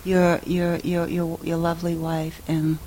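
A woman's voice: fairly low pitch, monotone, unhurried, dark tone, a little hiss or hum. A woman in the audience asking a long, rambling question, repeating words as she searches for what to say.

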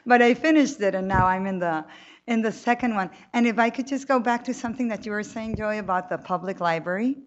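Animated woman from Colombia. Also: mid-range voice, fairly quick, dull audio, slight background noise. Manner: animated, talkative, frequent restarts. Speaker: novelist on a panel. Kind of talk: panel talk to an audience. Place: room with a live audience.

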